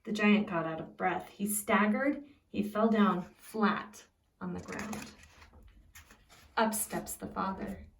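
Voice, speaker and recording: A woman reads a picture book aloud as a preschool teacher, simply and expressively, pausing to put questions to young listeners. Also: mid-range voice, gravelly, slight background noise. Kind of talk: read-aloud storytelling with questions to young children. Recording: echoey room, distant from the mic.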